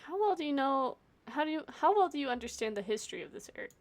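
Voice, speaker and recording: A woman livestreaming a video game with casual commentary, playful and expressive as she talks and reads dialogue aloud. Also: high voice, quick pace. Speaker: game streamer.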